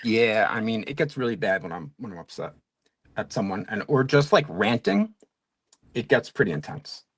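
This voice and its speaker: A man's voice: on the low side, big pitch swings, normal speed, gravelly, fairly bright tone, slightly rough, no room sound, studio quality. A man from Long Island with a New York accent, chatting casually and rambling while painting miniatures on a stream. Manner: rambling, lots of fillers and self-corrections. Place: home studio at a hobby desk.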